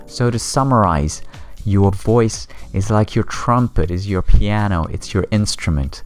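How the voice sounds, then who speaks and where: A man giving a scripted lesson as a presentation instructor, with deliberate, emphatic phrasing and pauses. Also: lowish voice, soft background music.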